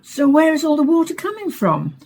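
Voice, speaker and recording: An actress playing a dramatic, urgent female news reporter with a husky voice in a radio play. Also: high-pitched, wildly swooping pitch, a little fast, a little mellow, a little hiss or hum, exaggerated voice. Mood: calm, questioning. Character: female field radio reporter, distressed witness of alien invasion.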